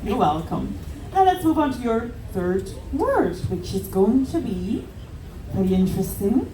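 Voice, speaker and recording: A woman's voice: on the high side, big pitch swings, warm tone, a little hiss or hum. Upbeat woman hosting a stage game show. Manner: brisk, upbeat, prompting contestants and audience. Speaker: game show host on a cruise ship. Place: large ship theater with live audience. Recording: echoey theater picked up from far off. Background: audience chatter and applause.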